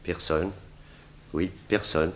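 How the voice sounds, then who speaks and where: Very deep, subdued entranced man. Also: fairly even intonation, slow. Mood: entranced, submissive. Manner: subdued, trance-like, obedient echoing. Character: entranced, submissive male sculptor, a murderous puppet of his master. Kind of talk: 1960s French radio drama acting.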